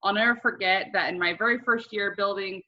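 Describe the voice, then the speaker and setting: A woman hosting an online call, telling personal stories and introducing a guest in an earnest, expressive way. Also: mid-range voice, a little fast. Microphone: video call. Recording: dull lo-fi online call audio.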